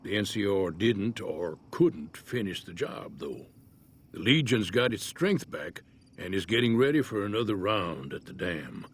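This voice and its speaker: An actor playing an easygoing older American prospector in a Western-style video game, folksy and laconic in manner. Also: male voice, lowish voice, lively intonation, unhurried, slight background noise. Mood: measured, wary. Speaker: game character, townsfolk prospector. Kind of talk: video game character dialogue.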